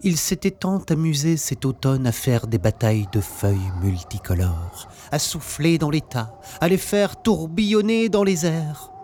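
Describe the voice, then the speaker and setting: Male children's narrator: very animated pitch swings, measured pace, faint noise. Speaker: storyteller. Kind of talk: expressive scripted children's story narration.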